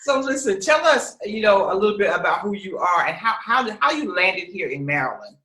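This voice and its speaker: A man's voice: sits high, big pitch swings, fairly quick, pushed and bright. A man hosting a casual interview, effusive and affirming. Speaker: host. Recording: echoey, roomy sound.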